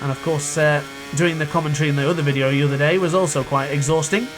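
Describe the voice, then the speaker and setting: A young man with a cold-hoarsened voice, chatting and thinking aloud while he commentates his own racing game play. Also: on the high side, fairly even intonation, quick pace, background noise. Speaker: gaming youtuber. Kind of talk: live gameplay commentary.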